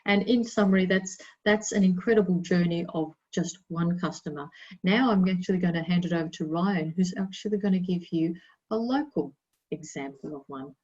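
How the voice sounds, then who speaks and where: A woman whose first language is Italian gives a chatty, emphatic webinar talk, dotted with fillers. Her voice sits a little low. The audio is dull and muffled. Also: normal speed, darkish tone, slightly rough. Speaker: innovation presenter. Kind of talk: webinar presentation.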